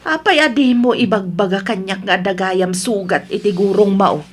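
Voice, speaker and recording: An actress plays a caring old wife and mother in a radio drama. Her husky voice sits at a middling pitch, and she comes across as fretful and devoted. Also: normal speed, lightly acted. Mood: anxious. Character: worried, caring elderly wife and mother of grown children.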